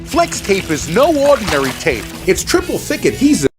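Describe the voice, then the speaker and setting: A man pitches a product in a scripted TV commercial. His voice is high and swings widely in pitch, with a punchy salesman's delivery. Music plays clearly behind him. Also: huge pitch swings, normal speed. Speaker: advertising pitchman. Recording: commercial clip with loud music.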